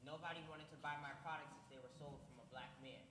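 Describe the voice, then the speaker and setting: A young performer playing a quick-talking early inventor. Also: medium pitch, fairly even intonation, lightly acted. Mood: matter-of-fact. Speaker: youth performer in a church skit. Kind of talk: stage acting in a church program. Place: church hall. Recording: echoey hall with long reverb and background noise.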